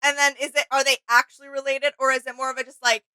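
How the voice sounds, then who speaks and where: A woman's voice: sits high, level tone, quick pace, bright, forceful tone. A woman co-hosting a reaction video in an informal, agreeable, casual chat.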